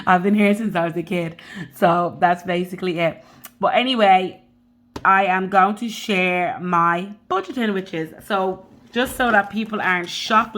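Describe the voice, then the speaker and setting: A woman's voice: mid-range voice, a bit bright, a little hiss or hum. A woman in her thirties from the Caribbean with a Dublin accent, chatting loosely and directly to her viewers as a budgeting vlogger. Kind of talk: casual chat to camera.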